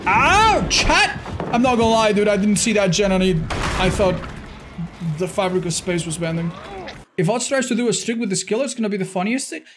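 A man in his thirties doing excitable live gameplay commentary for a chat, exclaiming and repeating phrases for emphasis. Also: high-pitched, a little fast, music in the background. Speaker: video game streamer. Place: indoor streaming room.

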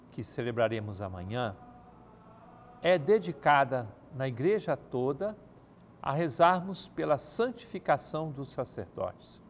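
Older male cleric, formal and measured, seated at a studio desk, faint background hiss. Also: mid-range voice. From Brazil. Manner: measured, formal pastoral address. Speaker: archbishop answering viewers' questions. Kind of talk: televised pastoral talk. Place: TV studio set. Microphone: studio mic, seated at desk.

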